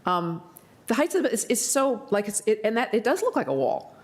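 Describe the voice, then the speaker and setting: An American woman on a county board, giving hesitant remarks at a public hearing, her voice gravelly, often clearing her throat with many ums. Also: a little high, big pitch swings, brisk.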